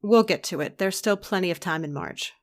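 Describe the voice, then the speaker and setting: A woman's voice: lowish voice, a little fast, no echo, lightly acted. A woman playing a blasé, teasing comic book editor in audio drama banter, with flippant, dry humour. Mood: weary, easygoing.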